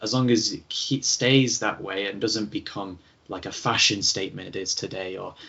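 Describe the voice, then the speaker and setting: Low, flat-voiced male podcast host. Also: moderate pace, creaky. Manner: hesitant, many fillers. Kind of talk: podcast interview chat. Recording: dull lo-fi sound.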